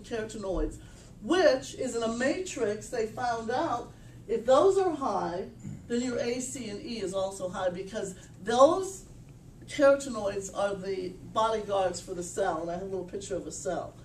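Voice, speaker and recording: A middle-aged woman giving an informal, animated sales pitch at a business meetup, emphatic and full of restarts, caught by a camera across a small room. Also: slight background noise. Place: small meeting room, indoors. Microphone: far camera. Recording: echoey room from far off.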